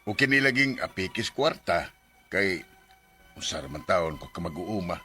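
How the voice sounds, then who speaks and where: An actor playing a stubborn, complaining seventy-year-old farmer in a radio drama, with a Visayan Philippine accent and folksy way of talking. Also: male voice, low-pitched, animated, normal speed, sharp tone, soft background music. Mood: plaintive narration. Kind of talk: radio drama acting with first-person narration.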